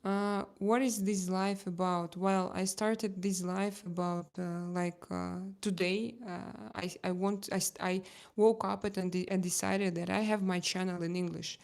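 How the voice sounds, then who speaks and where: A woman live streamer with a Russian accent talks casually to her viewers. Her voice sits at a middle pitch. As a native Russian speaker she goes haltingly, often pausing to hunt for the right word. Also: flat delivery, creaky, darkish tone. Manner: halting, searching for words in non-native English.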